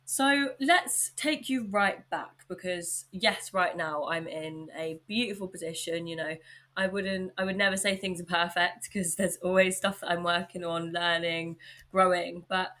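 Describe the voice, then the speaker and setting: A young woman with an English accent hosting her own podcast, chatting warmly and informally, a little husky from a sore throat. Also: fairly low pitch, measured pace. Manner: upbeat, chatty, lots of likes and you knows. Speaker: podcast host. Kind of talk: casual solo podcast chat.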